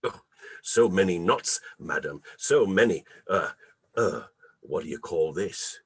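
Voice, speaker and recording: A man's voice: low voice, expressive, measured pace, creaky, slightly rough, dry recording, studio quality, full character voice. A man playing a shady, chuckling old junk dealer who haggles theatrically in a radio play reading. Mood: sly haggling.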